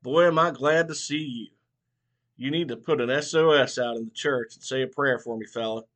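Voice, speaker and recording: A man's voice: mid-range voice, moderate pace, fairly bright tone, close to an everyday voice. A man voicing an odd, jittery small-town man who squawks and gets worked up in a folksy way.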